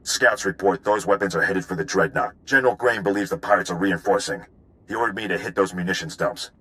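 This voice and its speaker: An actor playing a stoic, hardened sergeant in a video game, clipped, formal and matter-of-fact. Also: male voice, deep voice, brisk, a bit bright, clear, resonant tone. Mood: businesslike. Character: stoic, hardened military sergeant, loyal ground soldier. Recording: music behind the voice.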